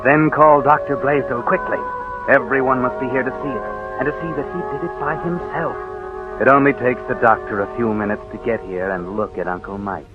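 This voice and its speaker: Actor as a taunting 1940s radio suspense narrator, tinny. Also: male voice, mid-range voice, fairly even intonation, fairly quick, natural voice. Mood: sly, urgent. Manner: taunting, addresses the character directly as you. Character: sinister narrator taunting the guilty man, sly and mocking. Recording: muffled vintage radio recording with music.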